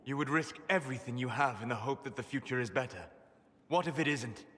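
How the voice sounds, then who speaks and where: Man acting melancholy male scholar, measured, reflective, formal, long reverb, faint noise. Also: medium pitch, a bit bright, close to an everyday voice. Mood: probing. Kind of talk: dramatic fantasy video game acting.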